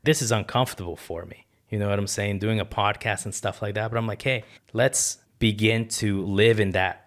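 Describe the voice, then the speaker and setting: Man in his thirties, low voice, podcast guest, colloquial, earnest, casual storytelling. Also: fairly even intonation, moderate pace. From born in Ecuador, moved to the United States. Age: 30s.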